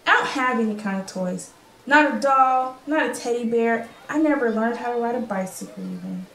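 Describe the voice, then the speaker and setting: A woman's voice: fairly high pitch, normal speed, a bit bright, lightly acted. A woman playing a young, candid, chatty refugee girl in a radio play. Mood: plaintive. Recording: echoey room with faint noise.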